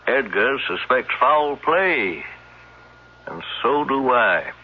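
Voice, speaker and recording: An actor playing a grave, suspicious man in a radio drama, with a husky voice. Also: medium pitch, expressive, takes it slowly. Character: grave, suspicious man confiding his fears. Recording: tinny old radio broadcast.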